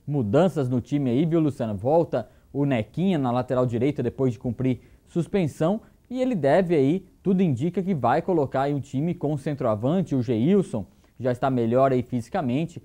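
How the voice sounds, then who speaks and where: A man's voice: mid-range voice, animated, normal speed, mellow. A man in his thirties, a sports presenter, giving a casual conversational report from a TV studio on a lapel mic. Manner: chatty, conversational.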